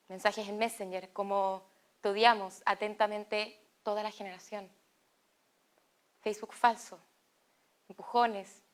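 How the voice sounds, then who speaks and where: A young woman with a Chilean Spanish accent narrates a personal testimony in a slow, deliberate way with pauses. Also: medium pitch.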